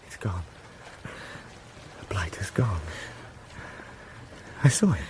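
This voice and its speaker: A man acting a domineering, hot-tempered but protective husband in an audio drama, emphatic, dropping to a hush at times. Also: deep voice, big pitch swings, slow pace, a little hiss or hum, full character voice. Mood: bitter. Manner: emphatic, scornful when roused, hushed when tender.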